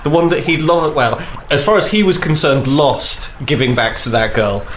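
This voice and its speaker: A man's voice: fairly high pitch, expressive, normal speed, a bit bright, a little hiss or hum. A man playing a tabletop game, talking hesitantly and repeating himself with lots of fillers. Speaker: player. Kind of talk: casual tabletop game play.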